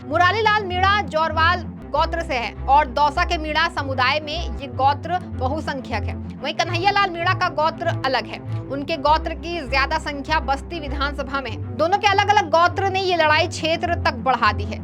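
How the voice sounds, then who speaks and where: A woman's voice: sits high, expressive, normal speed, few pauses. A woman news presenter reading scripted political news narration. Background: background music.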